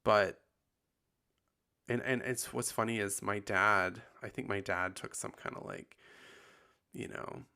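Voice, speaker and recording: A man hosting a podcast in casual confessional chat, sounding husky and tired after bronchitis, rambling with lots of likes and ums. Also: on the low side, fairly even intonation, slow, long pauses, pushed and bright. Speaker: podcast host.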